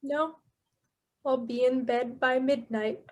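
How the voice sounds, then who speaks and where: A grief-stricken, guilt-haunted poet, acted by a woman, speaks in a high voice at a very slow pace. The delivery is halting and theatrical, made for a radio play full of solemn verse and sorrow. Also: fairly even intonation. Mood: subdued grief. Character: grieving, guilt-haunted solitary poet and scholar. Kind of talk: stage radio-play acting, verse recitation.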